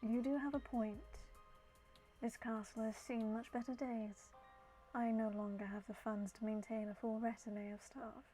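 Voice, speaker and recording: A woman voicing a courtly, melancholy, sickly male lord in a game, speaking formally and with measured politeness. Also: on the high side, close to an everyday voice, low-quality recording. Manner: formal, measured, gently condescending endearments.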